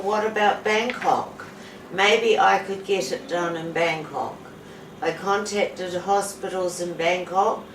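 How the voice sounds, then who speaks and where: Slow-spoken woman in her seventies, roomy. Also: fairly low pitch. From New Zealand. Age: 70s. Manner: slow, measured, with pauses and fillers. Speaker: patient giving a testimonial. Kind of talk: unscripted testimonial to camera. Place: indoor room with a sofa. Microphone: camera mic from a few feet away. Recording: roomy, distant camera audio with background hiss.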